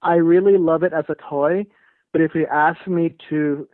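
A man chats as a podcast guest, wry and relaxed, with a few hesitations as he speaks. His voice sits a little high for a man. The sound is dry and thin, like a phone line. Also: normal speed, no room sound. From Puerto Rico. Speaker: guest writer and editor. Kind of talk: casual interview chat.

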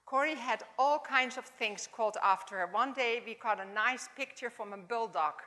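A woman in her seventies with a Dutch accent, giving an informal, halting chapel talk in English as a second language. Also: a little high, slight background noise. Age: 70s. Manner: warm, halting, non-native phrasing. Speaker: chapel speaker. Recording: long hall reverb.

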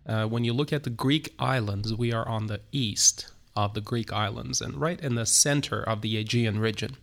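Turkish-accented man, gravelly and halting. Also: mid-range voice, fairly bright tone. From Turkey. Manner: halting, many fillers and restarts. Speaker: church planter. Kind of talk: interview guest telling his story.

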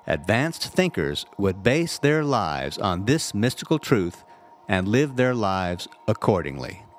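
Expressive male narrator of spiritual text. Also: mid-range voice, a little hiss or hum. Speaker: reverend reading a spiritual audio text. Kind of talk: scripted inspirational narration.

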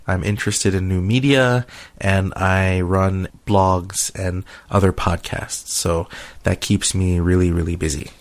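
A man's voice: deep voice, steady tone, unhurried. A man hosting a podcast in casual conversation, with a playful, teasing manner. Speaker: podcast host.

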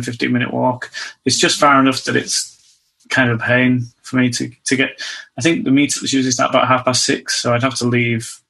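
A man hosting a podcast, talking in a relaxed, hesitant way with fillers. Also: medium pitch, creaky, rough recording. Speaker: podcast host. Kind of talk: casual chat.

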